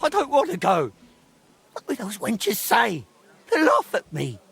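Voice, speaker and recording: An actor playing a middle-aged, washed-up drunken master craftsman in a video game, halting, grumbling and self-pitying, with a gravelly, husky voice. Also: high-pitched, wildly swooping pitch, a bit bright, faint music behind, full character voice. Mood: ashamed, pleading. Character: ashamed washed-up drunken old master clockmaker, grumbling and reluctant. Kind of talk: video game drama acting.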